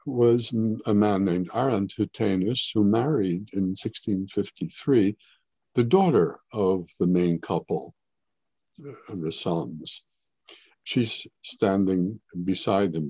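Older male art historian on a webcam: low and dry, measured, explanatory delivery. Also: warm tone, slightly rough, no echo. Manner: measured, explanatory, occasional hesitations. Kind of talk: online gallery talk. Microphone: webcam on video call.

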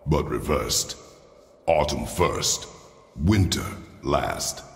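An actor playing a stern, gruff warrior father in a video game, with terse, commanding, gravelly speech. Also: lively intonation, very slow, heavily acted. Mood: brooding, deliberate. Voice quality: very deep, gravelly. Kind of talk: cinematic video game acting. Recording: roomy reverberant stone chamber, game ambience.

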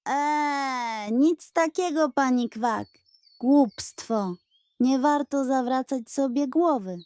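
A woman voicing an earnest, excitable young male pig detective in a children's story. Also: sits high, slow, clean tone, dry recording, voice pushed up. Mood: downcast, sheepish. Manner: hesitant sighs turning to eager exclamations.

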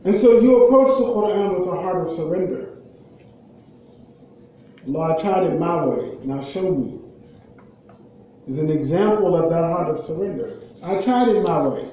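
American guy giving a khutbah, high voice, pauses and repeats for emphasis, really echoey and muffled from far away. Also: warm tone, slight background noise. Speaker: preacher giving a Friday khutbah. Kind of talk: sermon. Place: indoor prayer hall, at a raised pulpit.

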